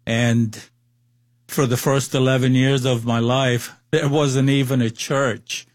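Cuban-accented man in his sixties, interview guest, slow and halting, dry close sound. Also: mid-range voice, level tone, dry recording. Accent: Cuban Spanish. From Cuba. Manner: hesitant, many fillers and restarts. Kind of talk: personal testimony interview.

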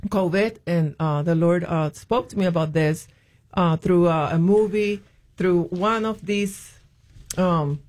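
A woman's voice: fairly low pitch, slow pace, a little mellow. Hesitant woman guest. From Puerto Rico. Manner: hesitant, many ums and restarts. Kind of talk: interview, telling her faith story.

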